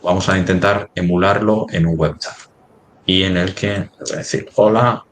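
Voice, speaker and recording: A man presenting a live software demonstration in a webinar, haltingly thinking aloud as he works on screen. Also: low voice, steady tone, a little hiss or hum.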